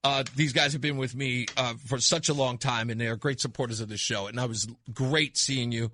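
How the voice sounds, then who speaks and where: A male radio host chatting casually in a studio, excitable, laughing often and breaking into loud bursts. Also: medium pitch, fairly quick, no echo. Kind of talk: casual on-air chat. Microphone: studio microphone.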